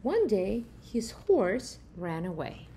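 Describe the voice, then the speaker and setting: A middle-aged woman reading a children's story aloud in an expressive storytelling style, with deliberate phrasing. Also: mid-range voice, a little hiss or hum. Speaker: reader of a children's picture book. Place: room at home, indoors.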